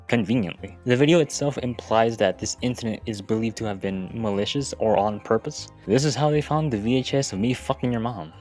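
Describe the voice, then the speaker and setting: A man's voice: medium pitch, a little mellow. A man narrating a video essay in a casual, informal way, with wry, sweary asides. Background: background music.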